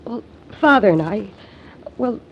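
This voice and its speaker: A woman's voice: on the high side, lively intonation, a little slow, slight background noise. An actress playing an anxious young female singer in a 1940s radio drama, speaking hesitantly with many stumbles. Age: young adult. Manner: hesitant, faltering speech. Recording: dull old radio recording.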